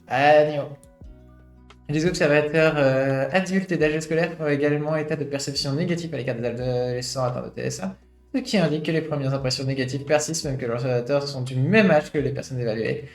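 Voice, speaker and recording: A man's voice: fairly high pitch, fairly quick. A man in his late twenties streaming, reading a study aloud and adding his own reflective commentary full of fillers. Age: late 20s. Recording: roomy echo with faint guitar music.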